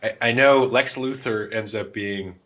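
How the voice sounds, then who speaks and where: A man's voice: fairly low pitch, measured pace, fairly bright tone, dull audio. A man co-hosting a podcast, chatting casually and thinking aloud in a rambling way. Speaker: podcast co-host. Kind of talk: casual chat about tabletop roleplaying and comics.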